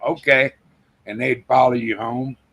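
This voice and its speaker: A man's voice: medium pitch, steady tone, a little slow, a bit bright. An older man from Texas, chatting casually on a live stream and telling rambling folksy stories with quoted dialogue. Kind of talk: casual chat.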